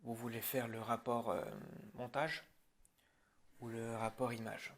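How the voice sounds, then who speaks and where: A man's voice: mid-range voice, little pitch movement, a little slow. A man speaking informally and hesitantly in an improvised ASMR roleplay, as a film director addressing his crew.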